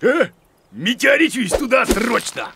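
A high-pitched, gravelly cartoon creature, a grumpy bearded know-it-all teacher. He blusters and mutters in slow, self-assured bursts that swoop wildly up and down. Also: big pitch swings, background noise, heavily acted. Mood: grumpy, blustering. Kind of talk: animated children's cartoon acting.

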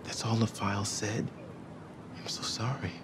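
A middle-aged American man playing a kind, protective researcher in a cinematic game, talking in a gentle, familiar, naturalistic way. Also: on the low side, flat delivery, unhurried, very noisy, lightly acted. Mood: sympathetic, concerned.

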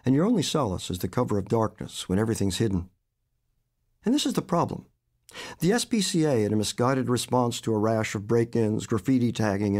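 A man in his seventies, an author reading his own short story aloud in a measured, expressive way. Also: medium pitch. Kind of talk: literary fiction reading.

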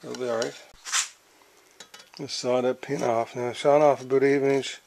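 A man's voice: mid-range voice, little pitch movement, moderate pace, gravelly. A middle-aged man in a cluttered home workshop, talking through his toolmaking in a rambling, matter-of-fact way, with half-muttered asides into a handheld camera. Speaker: hobby toolmaker. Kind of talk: workshop tutorial. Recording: loud workshop noise behind.

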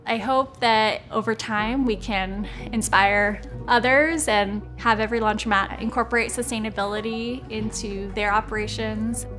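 A woman's voice: fairly high pitch, steady tone, moderate pace, few pauses, a bit bright. A woman small business owner speaking in a conversational, earnest way, as in a documentary interview voice-over. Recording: music bed behind the voice. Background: background music.

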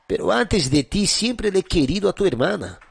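A man's voice: high voice, sing-song, moderate pace, creaky, slightly rough, voice pushed down, heavily acted. A man playing a nervous young boyfriend who stammers and pleads apologetically in a dramatic story. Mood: sheepish, confessing. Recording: music under the voice.

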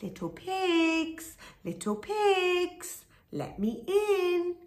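A woman voicing a big bad male wolf, blustering and menacing, with drawn-out sing-song calls and loud threats in a storybook reading. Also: extremely high pitch, sing-song, pitched above the performer's own voice, exaggerated voice. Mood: coaxing. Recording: echoey room.

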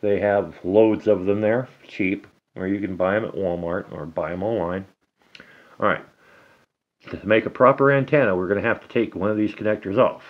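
A middle-aged man giving a casual hands-on repair tutorial at a table indoors, talking slowly and haltingly, half to himself as he works. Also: lowish voice, narrow, tinny audio. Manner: slow, halting, talks to himself while working. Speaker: DIY hobbyist demonstrating a repair.

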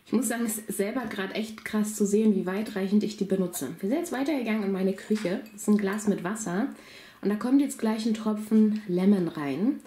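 A woman's voice: medium pitch, warm tone. Woman, thirties, slightly unwell, casual home vlog, enthusiastic, phone camera. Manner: chatty, enthusiastic, addressing viewers directly. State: slightly under the weather. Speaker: vlogger. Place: home, indoors.

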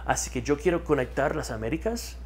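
A man's voice: a little high, brisk, slight background noise. A man, talking in an interview as a marketing consultant, repeating key words for emphasis, on a lapel mic in a studio. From United States. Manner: repeats words for emphasis, animated hand gestures. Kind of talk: energetic interview talk. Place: studio with map backdrop.

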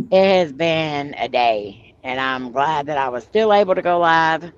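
A woman hosting a live stream over a video call, telling stories in a chatty, animated way and laughing as she goes. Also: low voice, slow pace, a little hiss or hum. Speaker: host of a paranormal live stream. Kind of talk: casual storytelling chat. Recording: slightly muffled video-call audio.

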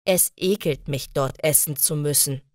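A woman voices a young female student in a learners' audio story. She speaks slowly in a slightly low voice, enunciating carefully, and the recording is dry. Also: darkish tone, no room sound. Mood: plain, measured. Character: 24-year-old female student introducing her shared-flat life. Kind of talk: scripted first-person monologue for learners.

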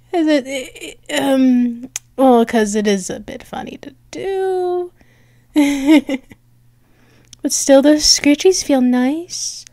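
A woman with a high voice speaks slowly in an intimate ASMR roleplay. She is bashful and halting, with soft affection in her delivery. The sound is close and dry, with no room around her. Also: no echo. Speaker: audio roleplay performer.

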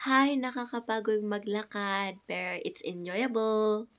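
A woman's voice: medium pitch, fairly even intonation, a little slow, fairly bright tone. A young adult woman with a Filipino accent, giving a casual walking-tour vlog outdoors. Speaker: vlogger. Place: outdoors, walking around temple grounds and stairways.

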